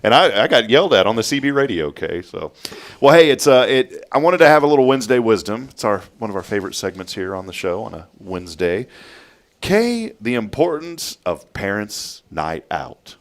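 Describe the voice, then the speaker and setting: A man's voice: on the low side, sing-song, normal speed. Jokey man hosting morning radio. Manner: jokey, upbeat banter. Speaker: radio show host. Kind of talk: casual morning radio chat.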